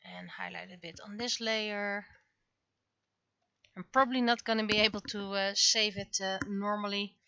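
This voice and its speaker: A woman's voice: medium pitch, fairly even intonation, moderate pace, bright, forceful tone, clear, resonant tone, dry recording, dull audio. A woman livestreaming as she draws, thinking aloud casually and muttering to herself as she works. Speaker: livestreaming webcomic artist. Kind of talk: casual livestream commentary while drawing.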